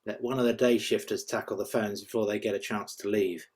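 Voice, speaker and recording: A man's voice: fairly low pitch, steady tone, brisk, darkish tone, husky. A man playing a grumpy, world-weary hotel worker in his thirties, offhand and laconic, in a script reading. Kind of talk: script table-read acting.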